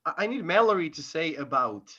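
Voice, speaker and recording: A man's voice: fairly high pitch, big pitch swings, sharp tone, highs cut off. Man with an Argentine Spanish accent. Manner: halting, repeats words. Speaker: panelist. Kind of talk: casual group chat.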